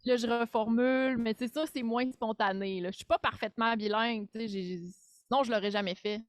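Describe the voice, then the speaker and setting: A woman with a Québécois accent, chatting casually as a podcast guest, animated and self-deprecating, full of fillers. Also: fairly high pitch, brisk, no room sound. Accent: Québécois French. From Quebec. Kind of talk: casual chat.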